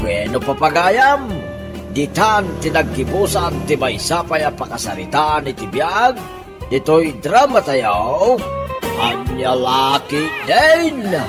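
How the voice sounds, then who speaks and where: An Ilocano-accented announcer introduces a radio drama from a script. The delivery is slow, with wide swings in pitch, over prominent guitar music. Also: sing-song. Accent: Ilocano, from the Philippines.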